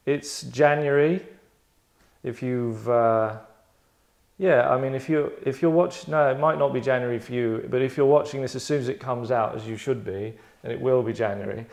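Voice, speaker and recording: A man's voice: medium pitch, moderate pace, vocal fry, a little mellow. A man hosting a table read, explaining things casually to a group in a sardonic, rambling, mock-dramatic way. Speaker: host directing an actors' table read. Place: London.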